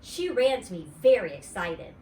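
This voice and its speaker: A woman, a teacher, reading a children's story aloud in an expressive storytime style. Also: mid-range voice, moderate pace. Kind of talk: animated storybook read-aloud. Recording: roomy, distant mic, faint noise.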